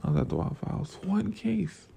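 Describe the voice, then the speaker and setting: A man's voice: high voice, huge pitch swings, moderate pace, gravelly, warm tone, slightly rough. A young man from Florida with a groggy morning voice, rambling casually and profanely about work and money. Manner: loose, profane, drifts between stories and life lessons. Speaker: vlogger.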